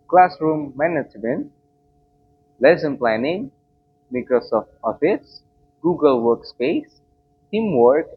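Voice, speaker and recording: A young man whose first language is Indonesian gives a formal student presentation, reading from his notes. He speaks slowly and carefully, with a slightly husky voice. The recording is dull and lo-fi. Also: mid-range voice. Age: young adult. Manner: slow, careful, formal delivery.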